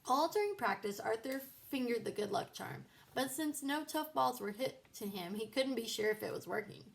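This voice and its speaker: A woman's voice: fairly high pitch, expressive, moderate pace, vocal fry. A woman narrating a children's book aloud as a storybook reader.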